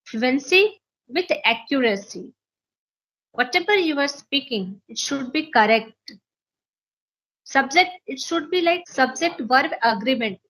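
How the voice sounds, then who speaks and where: A woman's voice: high-pitched, expressive, a little slow, fairly bright tone, poor audio. A woman English teacher with an Indian accent and Maithili as her first language, earnestly explaining in an interview and repeating phrases to stress her points. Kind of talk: interview, sharing learning advice.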